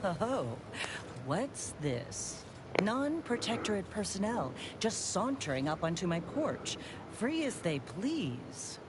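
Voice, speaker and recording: A woman's voice: mid-range voice, very animated, takes it slowly, crowd noise. A woman playing a haughty, fussy female scientist in a video game, speaking in a prim, old-fashioned and wordy formal way. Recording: noisy game ambience.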